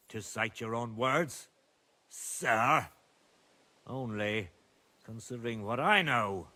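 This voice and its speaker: Defiant, slow-spoken medieval lord. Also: male voice, on the low side, pushed and bright, full character voice. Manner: commanding, measured, sardonic. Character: defiant middle-aged medieval lord and protective father. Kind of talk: dramatic video game acting.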